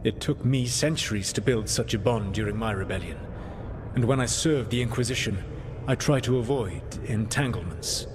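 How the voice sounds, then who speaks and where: A man performs an ancient, proud elven god for a fantasy game. His voice sits low, with measured, formal phrasing and a weary, regretful weight. A noticeable ambient rumble runs behind him. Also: lightly acted. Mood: reflective, candid. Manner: deliberate, formal, reflective. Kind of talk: dramatic video game acting.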